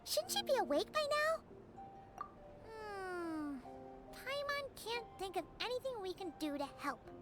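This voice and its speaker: A woman voicing a small, cheerful, childlike fairy companion in a video game, squeaky and excitable, with exclamatory delivery. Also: takes it slowly, over music, exaggerated voice. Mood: pondering. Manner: exclamatory, talks of self in third person. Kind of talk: video game character acting.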